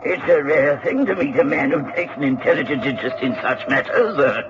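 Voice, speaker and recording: An actor playing an irascible, eccentric English landowner in an old radio drama, brusque and distracted. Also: male voice, medium pitch, fairly quick, close to an everyday voice. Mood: preoccupied, eager. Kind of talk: 1960s radio drama acting. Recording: dull, noisy old radio recording.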